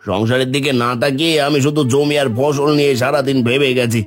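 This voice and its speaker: A man's voice: medium pitch, steady tone, fairly quick, lightly acted. A man voicing a guilt-ridden, mild poor farmer husband in a cartoon, concerned and self-blaming. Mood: remorseful. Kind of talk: Bengali cartoon voice acting. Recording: music behind the voice.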